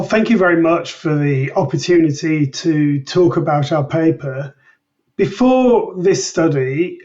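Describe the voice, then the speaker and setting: A man with a fairly high voice, recorded a little distant and dull. He explains research as an interview guest, formally and precisely, in well-ordered sentences. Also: a little mellow. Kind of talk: measured expert explanation in an interview.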